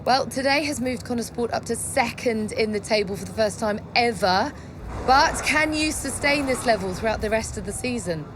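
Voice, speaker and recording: A woman playing a brisk, probing sports reporter in a game, conducting a post-race interview. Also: on the high side, background noise, natural voice. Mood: probing, polite.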